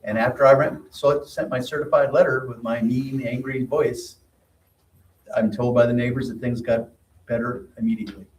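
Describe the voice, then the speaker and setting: A man's voice: mid-range voice, steady tone, normal speed, a little hiss or hum. A man on an owners' association board, answering residents at a community meeting in a plainspoken, reassuring way. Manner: plainspoken, reassuring, gives practical advice. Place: meeting room. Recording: roomy hall audio from a distant mic.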